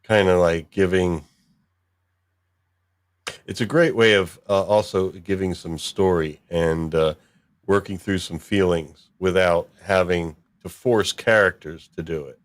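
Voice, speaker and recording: A man hosting a casual discussion of a story, halting as he thinks aloud. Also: low voice, no room sound. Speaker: podcast host.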